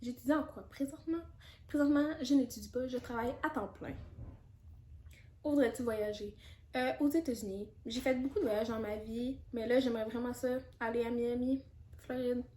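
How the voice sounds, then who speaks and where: A young Quebec woman chats through a casual Q&A vlog in a slightly high voice, candid and loose, often pausing on fillers. Her voice rings in an echoey room with a faint hiss behind it. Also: steady tone, warm tone. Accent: Quebec French. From Canada. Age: 20s. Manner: chatty and candid, with frequent fillers and asides. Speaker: YouTuber.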